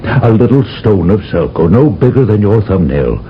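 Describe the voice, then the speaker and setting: An actor playing a solemn older general who briefs an agent in a measured, lecturing way, in a 1970s radio drama. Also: male voice, fairly low pitch, very animated. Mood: grave. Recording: dull lo-fi old radio broadcast with faint hiss.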